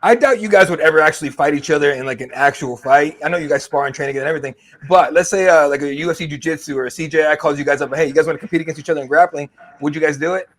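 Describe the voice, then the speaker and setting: A man's voice: a little high, rapid-fire, a bit bright. A man from Mexico with no accent, interviewing fighters in a casual, laid-back chat, laughing often.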